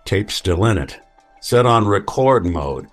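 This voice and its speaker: An actor playing a world-weary, hard-boiled male detective in an old-time radio drama, speaking tersely and deliberately. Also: fairly low pitch, lively intonation, soft background music. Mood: terse, wry.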